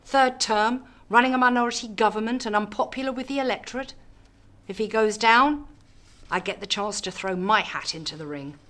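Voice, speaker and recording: A woman acting in a radio drama as a calculating, ambitious politician, measured, dry and self-assured. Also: a little high, fairly bright tone, lightly acted. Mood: scheming, smug. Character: cool, ambitious, calculating female government politician.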